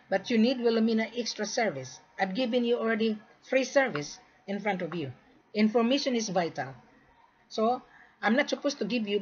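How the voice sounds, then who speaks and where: Woman from the Philippines, husky voice, repetitive lecturing sales pitch, dull lo-fi recording. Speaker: gold sales marketer. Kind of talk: direct-to-camera sales pitch.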